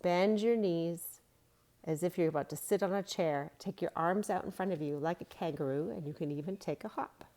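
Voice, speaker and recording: A woman with a slightly low voice reads a children's storybook aloud as a storyteller. She also teaches yoga along the way, using short, simple phrases suited to young children. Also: normal speed, mellow. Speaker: storyteller and kids yoga instructor. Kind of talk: read-along storybook narration with gentle instruction.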